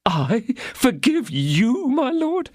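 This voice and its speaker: A man's voice: very high voice, wildly swooping pitch, extremely slow, darkish tone, dry recording, studio quality, voice pushed up, heavily acted. A man voicing a timid, fawning middle-aged tradesman who gushes and frets in disbelief. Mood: disbelieving. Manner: anxious, gushing, disbelieving.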